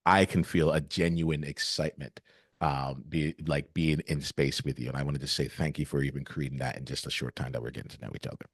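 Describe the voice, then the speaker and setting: A man's voice: rumbling low, fairly even intonation, a little fast, husky, no echo, studio quality. A man hosting an interview over a video call, encouraging and casual, using fillers like "like" and "um". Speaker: podcast host.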